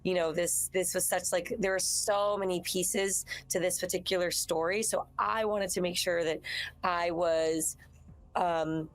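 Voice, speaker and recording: A woman with a naturally raspy, slightly low voice talks as an interview guest. She rambles and restarts her sentences, and she acts out her own thoughts as she goes. Her delivery is loose and conversational. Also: fairly even intonation, unhurried. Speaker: voice actress guest. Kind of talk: casual interview chat.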